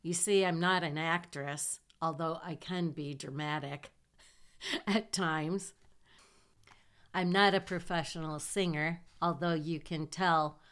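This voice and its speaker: A woman's voice: low-pitched, fairly even intonation, fairly bright tone. A middle-aged woman from southern Michigan with a nasal voice, hosting her own podcast in a chatty, self-deprecating way. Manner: slow, chatty, self-deprecating with small laughs. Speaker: podcast host. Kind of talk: casual personal monologue.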